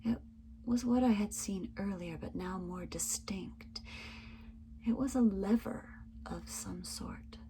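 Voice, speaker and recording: A woman's voice: mid-range voice, slow pace. Woman reading a suspenseful story, noisy recording. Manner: suspenseful, theatrical, swinging from hushed to forceful. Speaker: reader of a written memoir. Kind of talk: dramatic first-person story reading.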